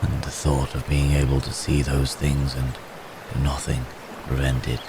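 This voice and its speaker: Very deep, troubled wounded werewolf man, acted. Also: monotone, background noise. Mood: brooding, troubled. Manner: halting, formal old-fashioned phrasing, sighing. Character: gentle, troubled wounded man cursed as a werebeast. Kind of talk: ASMR roleplay acting.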